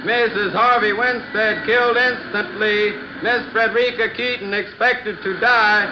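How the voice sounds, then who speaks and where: Street news hawker for radio drama: high, declaiming voice, dull and clearly noisy recording. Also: male voice, steady tone, measured pace. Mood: urgent hawking. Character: newspaper seller crying out the evening headlines. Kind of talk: old-time radio drama acting.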